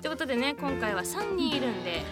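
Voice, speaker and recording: A woman's voice: sits high, big pitch swings, fast talker, loud music behind the voice. A woman hosting a casual radio program, talking in a chatty, explanatory way. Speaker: radio show host.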